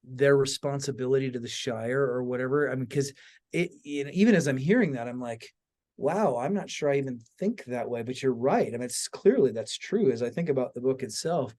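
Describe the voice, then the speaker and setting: Inquisitive male podcast host, mid-pitched voice. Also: a little mellow. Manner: inquisitive, circles around his questions. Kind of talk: casual conversational interviewing.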